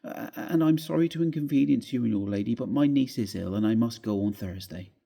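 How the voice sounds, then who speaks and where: Male reader as rural housekeeper woman, husky, homely, deferential yet stubborn, storybook character. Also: medium pitch, fairly quick, dark tone. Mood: apologetic. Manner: deferential, plain-spoken, homely.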